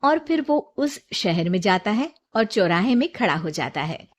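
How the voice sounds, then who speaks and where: A woman tells a scripted bedtime-style moral story as its narrator. Her voice sits at a medium pitch, with clear storybook phrasing. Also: big pitch swings, measured pace.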